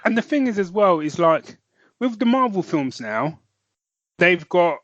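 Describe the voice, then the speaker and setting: A man's voice: high-pitched, sing-song, unhurried, fairly bright tone, no room sound, dull audio. A man with a British accent co-hosting a podcast, chatting casually and teasing his co-host with frequent laughs. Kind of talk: casual chat.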